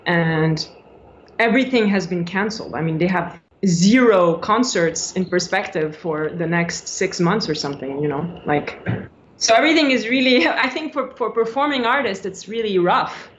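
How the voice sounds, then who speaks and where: A woman's voice: medium pitch, normal speed, a little hiss or hum. A woman in her forties speaks over a muffled video-call line from a small room. She talks in a loose, chatty way, restarting sentences and laughing often. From Switzerland. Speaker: guest, actress. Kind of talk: casual video-call interview. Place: small indoor room by a window. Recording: dull lo-fi video-call audio.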